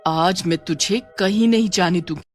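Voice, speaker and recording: Woman doing a menacing ghost witch girl for a cartoon, slow taunting drawl, possessive, music behind. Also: on the low side, close to an everyday voice. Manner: slow, drawn-out, taunting with gloating laughs. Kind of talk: Hindi cartoon horror story acting.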